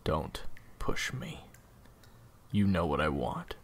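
A man playing a cold, short-tempered assassin interrogator in an audio drama, husky, with clipped, deliberate threats. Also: rumbling low, expressive, whispered, heavily acted. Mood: cold menace. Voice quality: husky, gravelly. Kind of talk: audio roleplay acting.

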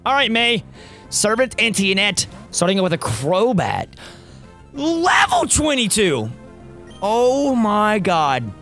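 A young man with a worn, raspy voice commenting on his own gameplay, close to a studio mic at a home setup and cheering on his team loudly. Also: high voice. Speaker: gaming YouTuber. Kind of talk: Let's Play commentary. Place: home gaming setup, indoors. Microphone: studio mic close up, seen. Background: faint game music.

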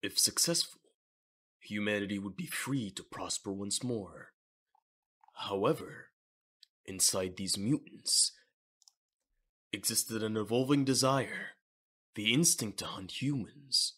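A man with a husky voice reading scripted dramatic narration for a manga audio drama. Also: fairly low pitch, slow pace, long pauses, bright, forceful tone.

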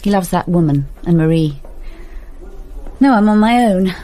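A woman's voice: fairly low pitch, lively intonation, moderate pace, mellow, faint music behind, close to an everyday voice. An actress playing a fragile, forlorn teenage girl in a radio drama, subdued and plaintive.

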